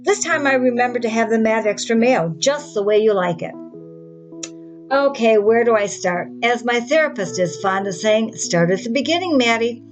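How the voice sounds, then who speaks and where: A woman's voice: on the high side, animated, moderate pace, faint music behind, natural voice. Indignant, sardonic woman venting, acted by a woman reader. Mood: chatty, defensive. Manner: sarcastic, exasperated, conversational venting. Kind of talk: dramatic monologue in a screenplay reading.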